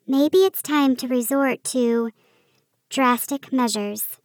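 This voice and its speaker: A woman playing a quiet earnest girl elf. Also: high voice, slow pace, no echo, pitched above the performer's own voice.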